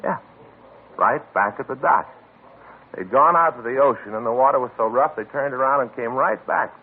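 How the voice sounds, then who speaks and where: An actor playing a chatty police partner who tells a long, aggrieved story in a 1950s radio drama. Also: male voice, mid-range voice, moderate pace, vocal fry. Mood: rueful. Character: chatty male police partner, grumbling about bad luck. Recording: thin, lo-fi old radio broadcast.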